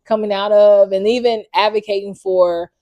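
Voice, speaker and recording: A woman's voice: mid-range voice, steady tone, normal speed, warm tone, dry recording. A woman hosting a faith podcast, enthusiastic and encouraging toward her guest. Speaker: podcast host. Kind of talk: casual faith podcast chat.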